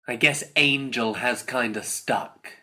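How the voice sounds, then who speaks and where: A man playing a casual, questioning teenage mutant in an audio drama, with a husky voice. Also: mid-range voice, slow, sharp tone, heavily acted.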